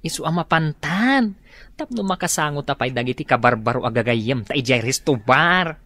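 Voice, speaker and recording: A woman's voice: low-pitched, animated, moderate pace, fairly bright tone, no room sound. A woman playing a carefree, teasing bar-going buddy who drawls, in an Ilocano radio drama. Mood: teasing, coaxing.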